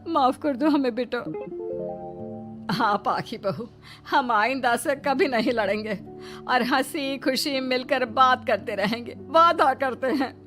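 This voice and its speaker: A woman's voice: sits high, slow, music in the background. A woman voicing a possessive, bossy middle-aged mother-in-law in a cartoon, emphatic and melodramatic. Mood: pleading. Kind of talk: Hindi moral-story cartoon dubbing.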